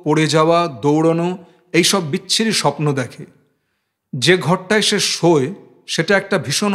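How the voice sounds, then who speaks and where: A man reading a literary novel aloud as an audiobook narrator. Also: on the high side.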